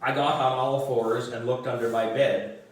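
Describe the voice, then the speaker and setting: A man reading a children's story aloud in an animated way, with dramatic emphasis. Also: mid-range voice, moderate pace. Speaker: audiobook reader for a book club. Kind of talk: expressive children's storybook narration. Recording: roomy echo, distant microphone.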